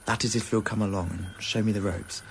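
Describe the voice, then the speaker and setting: Man playing an earnest, low-voiced young gentleman. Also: fairly even intonation, a little fast, close to an everyday voice. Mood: eager, determined. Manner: earnest, polite, educated phrasing. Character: earnest, troubled, well-spoken young gentleman, stubbornly determined. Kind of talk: radio drama dialogue. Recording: faintly noisy old radio recording.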